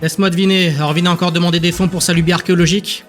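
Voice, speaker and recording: A man plays a sardonic male councillor in an audio drama, pitched a bit high. He talks in a breezy, theatrical, mocking way, over light music. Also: flat delivery, very fast, lightly acted. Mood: sardonic, amused. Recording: music bed behind the voice.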